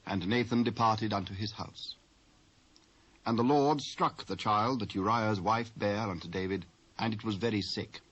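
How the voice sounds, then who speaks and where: A British man with a husky voice giving scripted narration of scripture on an old cassette recording. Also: lowish voice, normal speed, fairly bright tone, a little hiss or hum. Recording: dull old cassette tape.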